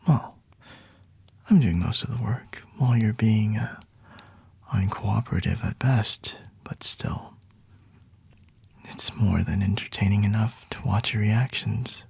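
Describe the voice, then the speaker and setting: A man acts out a smug, flirty vampire in an ASMR roleplay, whispering low and very husky, right up close and dry. He takes his time, teasing and talking down to his captive in an unhurried, condescending way. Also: a little mellow, dry recording, exaggerated voice. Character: flirty, smug male vampire toying with a captured hunter.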